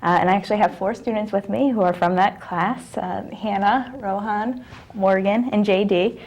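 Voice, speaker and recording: A woman's voice: medium pitch, steady tone, moderate pace, darkish tone. A woman college professor appearing as a talk show guest, speaking in a friendly way with many fillers. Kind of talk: casual studio chat.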